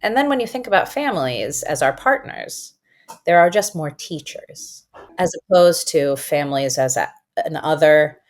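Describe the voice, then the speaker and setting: A woman educator, a native Farsi speaker, talking through her ideas in a conversational interview, thoughtful and emphatic, slowing to stress key words. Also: deep voice, animated. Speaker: guest educator and author.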